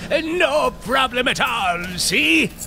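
A man's voice: extremely high pitch, sing-song, slow, gravelly, pushed and bright, full character voice. A man playing a nervous, boastful, eager-to-please peasant guide in a comic dub, with stammering, folksy speech. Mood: cheerful, eager. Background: background music.

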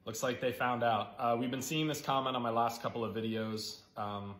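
A man giving a casual announcement to camera in a halting way, full of fillers. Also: medium pitch, fairly even intonation, fairly bright tone, roomy sound. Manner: hesitant, filler-heavy. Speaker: video creator.

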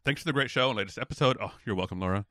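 A man's voice: fairly low pitch, racing, bright, forceful tone, dry recording, studio quality. An American man hosting a podcast, asking curious follow-up questions and reading a listener comment aloud. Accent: General American. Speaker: podcast host. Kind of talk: casual interview chat.

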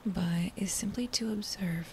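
A woman guiding a body scan meditation in a soft, breathy near-whisper, with a gentle, soothing manner and unhurried phrases. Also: medium pitch. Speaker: meditation guide. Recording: faint background noise.

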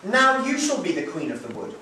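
A man's voice: high voice, huge pitch swings, brisk, sharp tone, far from the mic, a little hiss or hum, pitched above the performer's own voice, full character voice. A man voicing a cheeky young boy with a teasing, bouncy manner, recorded off-mic in a very echoey room. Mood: mock-grand.

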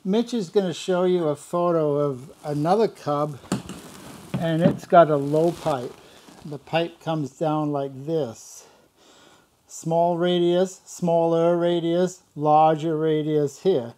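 A man's voice: on the high side, fairly even intonation, slow, dark tone. An older man casually talking through motorcycle fabrication step by step in a large workshop, repeating words as he goes. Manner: plain-spoken, explains step by step with frequent word repeats. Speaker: motorcycle builder showing his shop work.

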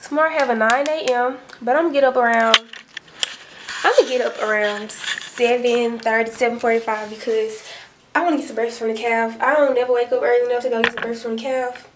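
A young woman chats to her camera in a relaxed, slangy way. Her voice sits a little high. The sound is roomy and distant, with clear noise behind her in a small dorm room. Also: quick pace. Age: young adult. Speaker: vlogger. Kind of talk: casual vlog chat. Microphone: camera set a few feet away. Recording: roomy, distant, noisy home recording.

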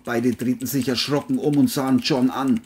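Narrator for a crime thriller: mellow and husky, scripted delivery. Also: medium pitch, steady tone, normal speed.

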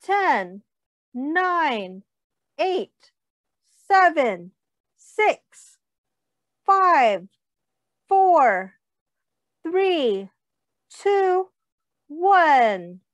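Young female teacher, high-pitched and very slow, children's read-aloud with explanations and questions to the listener. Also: sing-song, no room sound, lo-fi sound. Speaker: teacher reading a picture book aloud.

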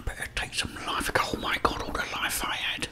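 A middle-aged British man whispering a hushed ASMR commentary as he plays a video game. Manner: hushed, slow running commentary.

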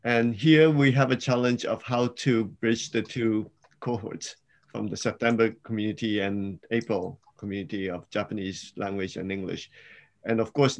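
A middle-aged man hosting an online panel, asking questions in a measured way with many fillers. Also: fairly low pitch. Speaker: dean hosting an info session. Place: indoors, home video call. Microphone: webcam mic on a video call.

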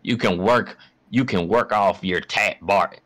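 A man's voice: on the low side, measured pace, a bit bright. A man in casual, profane chat during a comic readthrough as an amateur voice actor.

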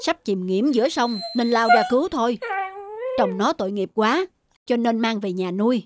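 A man's voice: very high voice, normal speed, very noisy. A man playing a sturdy, kindhearted rustic fisherman in a cartoon, plain-spoken and earnest. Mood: compassionate. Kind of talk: cartoon voice acting.

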